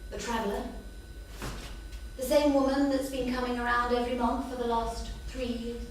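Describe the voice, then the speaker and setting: An actress plays a breezy, playful wife and mother. Her voice sits a bit high, and it comes through a very echoey room with clear noise behind it. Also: level tone, moderate pace, distant mic, close to an everyday voice. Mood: breezy, distracted. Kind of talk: staged script reading. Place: theatre, staged reading.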